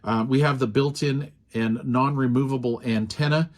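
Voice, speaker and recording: A man talking at an ordinary, middle pitch. He walks through a hands-on demo at a relaxed, unhurried pace and wanders between points. Ums and uhs come up often, like an everyday home video review. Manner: easygoing, rambling, frequent ums and uhs. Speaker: radio product reviewer. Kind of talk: casual hands-on product demo.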